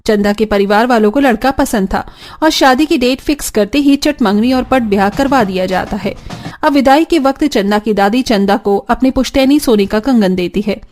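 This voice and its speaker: A woman's voice: fairly high pitch, normal speed, a little mellow. A woman reading dramatic scripted narration for a cartoon family story.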